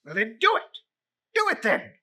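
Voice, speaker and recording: Lady putting on a stubborn old guy, blustery and stammering, rattled, high sharp voice, storybook drama. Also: wildly swooping pitch, normal speed, heavily acted. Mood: nervous. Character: stubborn old male skeptic, rattled and irritable.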